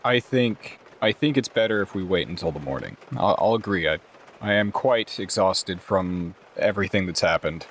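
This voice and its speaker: A man's voice: sits low, expressive, normal speed, a bit bright, a little hiss or hum. A man playing a tabletop roleplaying game, talking casually as his character and describing what he does.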